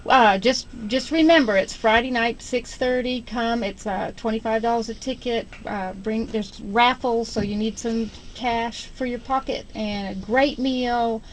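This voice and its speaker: A woman's voice: on the high side, measured pace, hardly any pauses, slight background noise, rough recording. A woman chatting casually as a radio interview guest, agreeable and often repeating short affirmations. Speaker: radio guest promoting a fundraiser.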